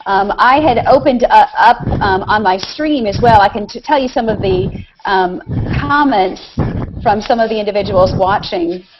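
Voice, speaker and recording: A woman's voice: medium pitch, moderate pace. A woman hosting a live video hangout, casually asking questions and relaying comments from viewers. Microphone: video call. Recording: dull, noisy internet video call.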